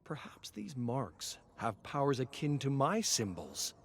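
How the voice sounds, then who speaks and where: A man's voice: medium pitch, wildly swooping pitch, unhurried, vocal fry, heavily acted. A man voicing a desperate, starving fugitive nobleman in a dramatic game story, brooding, anguished and intense. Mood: grim worry.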